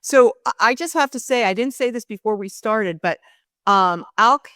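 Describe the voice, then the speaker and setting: A woman's voice: a little high, lively intonation, moderate pace, a bit bright, dry recording, studio quality. A woman hosting an interview podcast, talking earnestly with many fillers and restarts. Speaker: podcast host.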